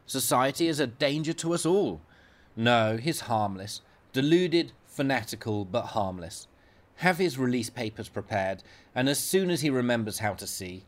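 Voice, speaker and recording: A man voicing a brisk, condescending senior male hospital consultant with a sardonic, clipped manner. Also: medium pitch, lightly acted.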